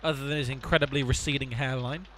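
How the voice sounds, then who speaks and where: An actor playing the exasperated, sensible half of a comic duo in an amateur audio drama, bossy and long-suffering. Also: male voice, mid-range voice, steady tone, fast talker, fairly bright tone, slight background noise, natural voice.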